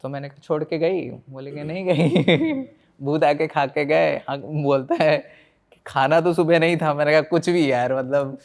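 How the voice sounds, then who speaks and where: A man's voice: fairly high pitch, unhurried, creaky. An Indian man, a paranormal investigator, telling stories conversationally as a podcast guest, mixing Hindi and English and acting out reported dialogue.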